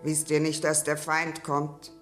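An angry, foreboding neighbour woman in a radio drama. Her voice is very low and barely moves in pitch, with clear music underneath. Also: completely flat delivery, measured pace. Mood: grim anger. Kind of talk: dramatic radio play acting.

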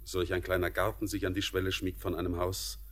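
An actor playing an earnest young former sailor turned miner in a classic radio play, speaking in a poetic, searching way that turns brusque. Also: male voice, low voice, little pitch movement, fairly quick, a bit bright. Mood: dreamy.

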